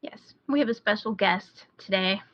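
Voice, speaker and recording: A woman's voice: mid-range voice, normal speed, no echo. A woman podcast host chatting casually and giving recommendations on a dull, lo-fi internet call. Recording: dull, lo-fi online call audio.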